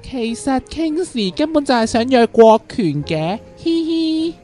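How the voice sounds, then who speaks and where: A woman's voice: high voice, big pitch swings, measured pace, warm tone, soft background music. A woman playing a dramatic, clingy, lovesick young man with theatrical, whiny delivery in a comic radio drama. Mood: playful, clingy. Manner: theatrical, whiny, repeats words.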